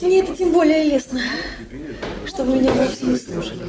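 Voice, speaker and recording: An actress playing a proud, teasing young princess in a Russian radio drama, speaking with arch, needling politeness. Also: female voice, high voice, fairly quick, crowd noise, lightly acted. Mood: piqued, arch. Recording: echoey room, chattering company behind.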